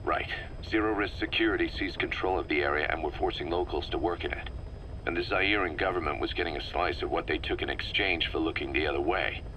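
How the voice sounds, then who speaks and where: An actor playing a serious, methodical middle-aged intelligence officer, giving a measured, analytical briefing in a military video game. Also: male voice, deep voice, bright, forceful tone, rough recording. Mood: grave. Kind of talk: scripted briefing, game voice acting. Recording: faint noise on a cassette-tape briefing.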